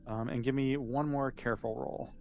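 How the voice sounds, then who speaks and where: A man's voice: mid-range voice, steady tone, moderate pace, vocal fry, narrow, tinny audio, slight background noise. A man running a tabletop game as game master, narrating casually and improvising as he talks. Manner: conversational, improvising as they go.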